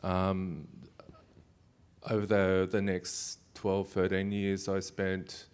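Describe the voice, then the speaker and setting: A man's voice: low voice, monotone, slow pace, darkish tone, clean tone. Hesitant man in his fifties. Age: 50s. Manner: hesitant, many fillers. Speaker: panelist, consumer consultant. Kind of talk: panel discussion answers.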